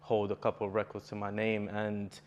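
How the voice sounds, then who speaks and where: A man's voice: fairly low pitch, completely flat delivery, brisk, a crowd in the background. A young man from Bulgaria, a native Bulgarian speaker, talking earnestly as a poet guest in a casual interview.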